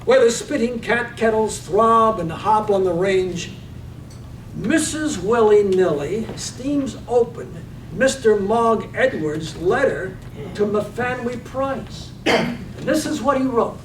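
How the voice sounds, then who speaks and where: An actor playing the narrator of a poetic village radio drama, measured and deliberate. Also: male voice, expressive. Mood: measured, descriptive. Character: narrator of a village radio play, relishing words. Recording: echoey room, distant mic, faint rumble.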